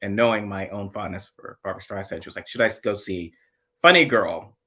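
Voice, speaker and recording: A man with a low voice talks in a dry, musing tone. His sentences often sink away quietly. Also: brisk. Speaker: co-host. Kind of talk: casual podcast chat.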